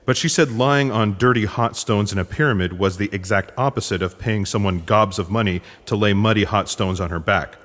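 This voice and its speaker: A dry-witted, patient intelligence agent acted by a man for comic sci-fi audio theatre. His voice sits a little low and stays wry. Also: a little fast, few pauses, fairly bright tone, close to an everyday voice.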